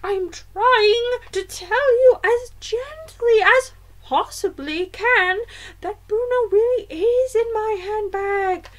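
A woman voicing a brisk, determined elderly grandmother who speaks emphatically in a storybook reading. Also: very high-pitched, pitched above the performer's own voice. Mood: firm, insistent. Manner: emphatic, forthright.

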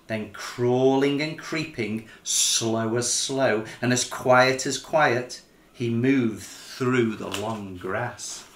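A middle-aged man reading a children's picture book in an expressive, measured, dramatic storytelling style. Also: mid-range voice. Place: small living room, indoors. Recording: roomy living-room sound.

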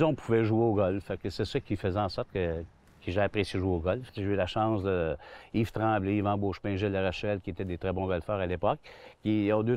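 A Québécois man in his sixties tells stories in a rambling way, full of restarts and fillers. His voice sits a little low. He is recorded on a lapel mic outdoors on a golf course. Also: darkish tone, highs cut off. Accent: Québécois French. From Quebec. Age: 60s. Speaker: golf club general manager. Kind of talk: relaxed outdoor interview.

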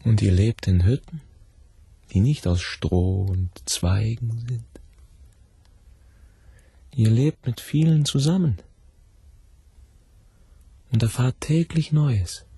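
A gravelly, very husky persuader. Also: male voice, mid-range voice, slow, long pauses, dark tone, dry recording, full character voice. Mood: coaxing. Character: persuasive, insistent recruiter plying a villager with drink. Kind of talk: radio drama acting.

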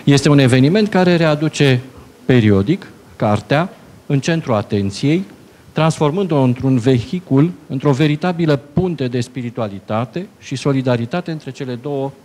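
An older man giving a ceremonial official address at a book fair, pausing often, holding a handheld microphone in a conference hall. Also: mid-range voice, animated, darkish tone. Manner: ceremonial, halting with pauses. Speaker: presidential adviser reading a message.

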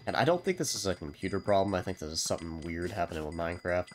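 A man's voice: low-pitched, expressive, racing, a little hiss or hum. A man with a cold, sounding congested, giving rambling, wry and self-deprecating commentary over his own gameplay as a channel host.

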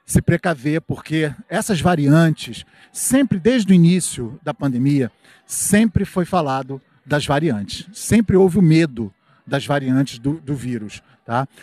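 A middle-aged man with a Brazilian accent chats upbeat and fast on a live stream, strolling through an outdoor fair at night. His voice sits a bit high, and the sound is dry and close, from a handheld mic. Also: a little mellow, dry recording. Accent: Brazilian Portuguese. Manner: chatty, upbeat, reads viewer comments aloud, frequent "tá?" and "né?". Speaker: live-stream host and reporter. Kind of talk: live walking tour commentary. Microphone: handheld microphone.

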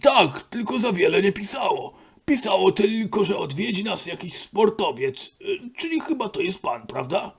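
A man's voice: high-pitched, sing-song, moderate pace, vocal fry, hardly any pauses, husky, heavily acted. A man voicing a grumpy male fire chief who gives curt, impatient replies in an amateur cartoon dub. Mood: grumbling.

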